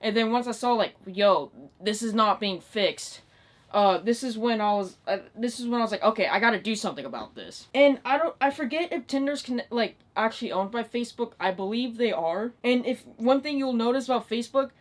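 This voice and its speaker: Young woman, late teens, higher-pitched voice, exasperated, sweary, emphatic, casual vlog rant. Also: expressive, a little fast. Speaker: online video creator. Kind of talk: ranting vlog, casual unscripted talk.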